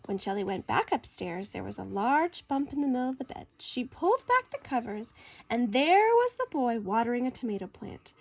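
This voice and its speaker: A high-voiced woman reads a children's story aloud. Her delivery is animated and theatrical, with wide swings in pitch and deliberate dramatic phrasing. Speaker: story reader. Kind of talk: expressive children's picture-book read-aloud.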